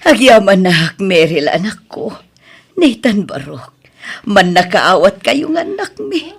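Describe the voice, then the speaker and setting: A woman with an Ilocano accent playing a humble, soft-hearted middle-aged mother in an audio drama. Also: mid-range voice, sing-song, a little slow, gravelly, breathy edge, heavily acted. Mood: tender, content.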